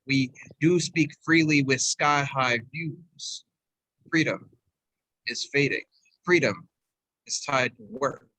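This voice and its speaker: A man's voice: a little high, bright, forceful tone, dry recording, low-quality recording. A man reciting spoken-word poetry in short, emphatic, declarative statements. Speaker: poet, event participant.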